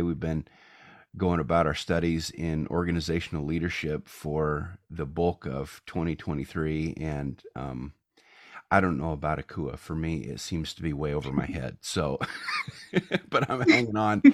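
Low-voiced guy hosting a podcast, chatty and rambly, dry mic. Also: no room sound. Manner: friendly, rambling with ums. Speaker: podcast host. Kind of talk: casual interview hosting.

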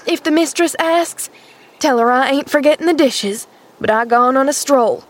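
Woman acting an excitable, high-voiced rustic maid. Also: moderate pace, close to an everyday voice. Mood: dogged. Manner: rustic ungrammatical dialect, exclamatory. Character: fierce, fretful young serving maid, loyal and excitable. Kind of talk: audiobook character voice.

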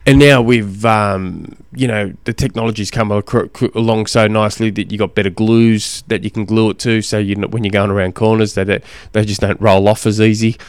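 Rambling man, low voice, slightly hoarse. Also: steady tone, normal speed, hardly any pauses, no echo. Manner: rambling, self-deprecating, lots of ums and restarts. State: recovering from a cold, hoarse. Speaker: podcast host and cycling coach. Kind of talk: casual solo podcast chat.

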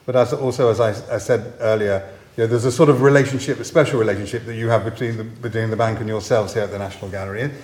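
Halting male interviewer in an echoey gallery. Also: fairly low pitch, fairly even intonation, a little mellow. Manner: halting, repeats words as he asks. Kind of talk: interview questions. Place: art gallery. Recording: roomy gallery echo.

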